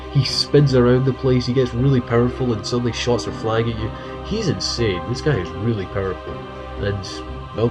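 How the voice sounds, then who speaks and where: A man with a cold giving earnest, casual commentary on his favorite game characters, often restarting his sentences as he thinks aloud. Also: on the low side. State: has a cold. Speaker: video game fan commentator. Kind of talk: casual ranked-list commentary. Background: music.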